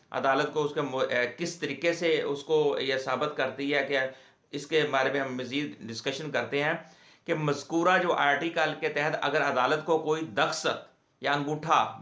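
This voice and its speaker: A man with a Pakistani accent gives an informal legal explainer in a halting, repetitive, explanatory way, as the host of an advice channel. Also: on the high side, bright, forceful tone. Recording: echoey room.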